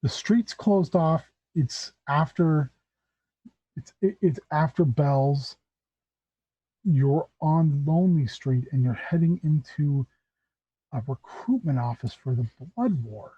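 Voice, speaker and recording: A man's voice: a little high, dark tone, breathy edge, dry recording, lo-fi sound. A man doing Bliss, a weary patient woman. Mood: stern. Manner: slow, patient, sighing. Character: weary but kindly woman named Bliss.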